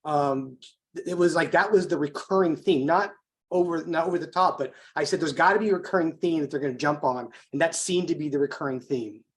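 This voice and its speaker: A man's voice: on the high side, fairly quick. An American man hosting a conversational political interview, talking informally and working his way round to his questions.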